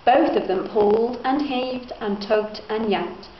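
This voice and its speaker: A woman's voice: a little high, measured pace. A young woman reading a children's picture book aloud as a student narrator. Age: young adult. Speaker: student reader. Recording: echoey, muffled room, faint background noise.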